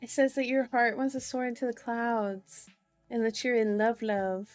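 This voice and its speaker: A woman's voice: fairly high pitch, little pitch movement, moderate pace, no room sound. A woman chatting casually and playfully in an ASMR style, giggly, with silly repeated words and sounds, reading fortunes for viewers. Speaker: streamer reading horoscopes and tarot for chat viewers. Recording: dry close recording over faint music.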